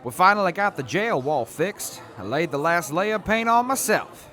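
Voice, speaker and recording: A man's voice: high voice, animated, moderate pace, sharp tone. A man voicing an earnest, dutiful former army major who is polite and gets flustered when cornered, in an audio drama.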